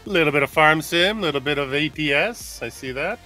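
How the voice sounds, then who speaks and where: Male gamer, bright and forward voice, on the high side, chatty. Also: expressive, soft background music. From Canada. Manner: asks questions, quick to laugh. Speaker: co-player on stream. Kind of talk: casual voice chat.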